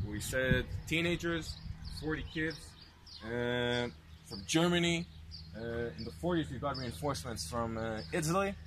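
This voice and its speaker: A male tour guide speaks at a medium pitch with an Anglo accent shaped by American and South African parents. He explains things informally, step by step, checking in with short questions. Clear outdoor ambient noise sits behind him. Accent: English with Anglo influence from American and South African parents.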